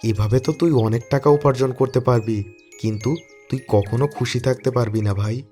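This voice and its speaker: An actor voicing an honest elder brother who runs a shop in a cartoon, giving earnest advice. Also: male voice, mid-range voice, moderate pace, dark tone, soft background music, natural voice. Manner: earnest, advising.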